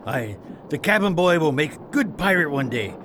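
Against clear background noise comes a wily, seasoned pirate, acted by a man for an audio drama. He talks in rough, colloquial sailor dialect with a swagger. His pitch swings widely from line to line. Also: sing-song, moderate pace, fairly bright tone. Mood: grim, reminiscing. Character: rough, wily veteran pirate, Captain's trusted old hand.

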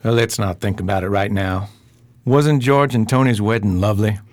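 A man playing a calm, discreet, reassuring investigator in a radio drama. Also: on the low side, moderate pace, dry recording, close to an everyday voice.

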